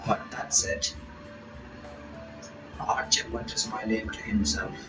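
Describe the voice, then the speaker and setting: A man reads a visual novel aloud and comments on it. He stumbles over some words and breaks off for quick asides. His voice sounds roomy, with clear game music playing behind. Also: on the low side, steady tone. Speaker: reaction channel host.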